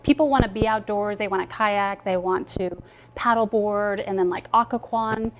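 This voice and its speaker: A woman's voice: mid-range voice, normal speed, noisy background. A woman speaking as an expert guest in an interview, giving advice in a casual, conversational way. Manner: conversational, informal. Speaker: travel advisor, show guest.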